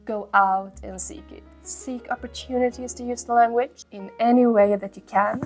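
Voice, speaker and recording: A young woman, a native Swedish speaker, talks straight to her viewers with earnest encouragement. Her tone is soft and mellow, with a gravelly fry in her voice. Quiet music plays underneath. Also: a little high, fairly even intonation, moderate pace. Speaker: language-learning video host. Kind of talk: motivational talk to camera.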